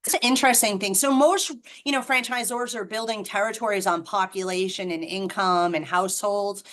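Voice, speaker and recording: A woman's voice: mid-range voice, fairly quick, a bit bright. Chatty Boston woman explaining business. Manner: chatty and explanatory, frequent ums and restarts. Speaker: franchise representative. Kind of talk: conversational business explanation on a video call. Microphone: video call.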